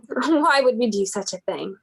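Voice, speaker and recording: A woman's voice: fairly high pitch, lively intonation, a little slow, gravelly, a bit bright, breathy edge, exaggerated voice, rough recording. A woman playing a curt, dismissive lady of the household in an amateur radio play. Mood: defensive.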